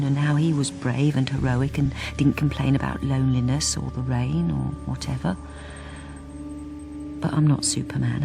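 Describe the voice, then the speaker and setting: An actress playing a lonely, downtrodden wife in a radio drama, with a bleak, understated delivery. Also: female voice, sits low, gravelly. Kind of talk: first-person radio drama narration.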